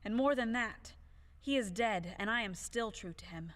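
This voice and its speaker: Woman acting a high-voiced, haughty, fiery widow. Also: animated, moderate pace, a bit bright, long reverb tail. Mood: mournful, solemn. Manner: haughty, melodramatic. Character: proud, fiery young widow, easily offended. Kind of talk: audio play of a classic stage farce.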